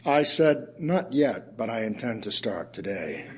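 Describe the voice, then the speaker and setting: Husky male writer reciting. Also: medium pitch, big pitch swings, unhurried. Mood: wry, subdued. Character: weary, wry male writer telling his own story. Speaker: actor in an audio theatre play. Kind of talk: dramatic recital of poems and prose.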